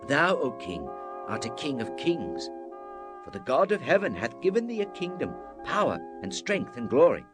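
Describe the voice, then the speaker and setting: A devout prophet in a scripture drama, proclaiming with solemn fervour. His pitch swings widely between reverent, weighty pauses. Music plays clearly behind him. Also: huge pitch swings. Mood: grave, proclaiming.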